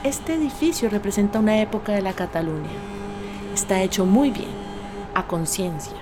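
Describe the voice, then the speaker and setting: A woman's voice: medium pitch, moderate pace, music in the background. A woman giving spoken documentary testimony in a scripted style. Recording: noticeable urban background noise.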